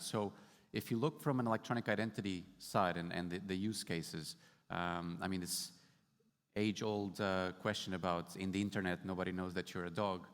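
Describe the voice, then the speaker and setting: A man from Portugal speaking casually on a conference panel about his startup work, explaining with light humor. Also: sits low. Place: large conference hall stage. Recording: hall reverb.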